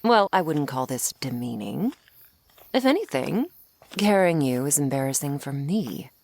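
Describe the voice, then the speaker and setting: A woman's voice: deep voice, big pitch swings, a little slow, creaky, darkish tone, dry recording, full character voice. A woman playing a flirty, sardonic female vampire in an ASMR roleplay, taunting the listener playfully up close. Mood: dry, teasing. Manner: sardonic, playful, taunting, with pet names and sighs.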